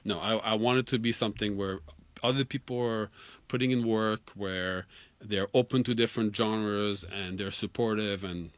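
A man in his forties with a lowish voice, a native French speaker, chatting loosely as a guest in a radio studio. He laughs easily and strings his thoughts together with lots of fillers, heard on a dry, close sound. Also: fairly even intonation, normal speed, dry recording. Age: 40s. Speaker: musician guest. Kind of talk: casual radio interview.

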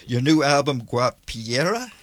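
A man's voice: medium pitch, big pitch swings, unhurried, no echo. A man hosting a folk music radio show, interviewing a guest in the studio in a friendly, curious way. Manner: friendly, curious, stumbles over foreign words. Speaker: radio host.

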